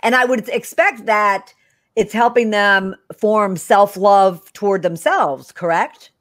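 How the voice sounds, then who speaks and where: A woman's voice: mid-range voice, slow pace. Upbeat older woman hosting an interview. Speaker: show host. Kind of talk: video-call interview. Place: living room with couch.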